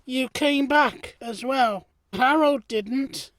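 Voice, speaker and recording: Woman as sensitive, slow-speaking gardener lad. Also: high-pitched, dry recording. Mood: gentle, earnest. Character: sensitive, earnest young gardener man, easily hurt. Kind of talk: audio play acting.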